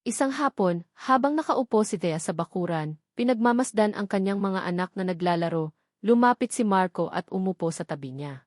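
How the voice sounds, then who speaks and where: A woman's voice: medium pitch, expressive, normal speed, no echo, studio quality. A woman reading a scripted romance story as its narrator.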